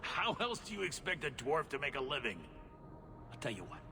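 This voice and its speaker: An actor voicing a genial, sly dwarf merchant in a video game, with a jovial, teasing manner. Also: male voice, fairly high pitch, sing-song, a little fast, creaky, sharp tone, long reverb tail, soft background music, full character voice. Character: genial sly male dwarf merchant, worldly information-peddler. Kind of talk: video game character dialogue.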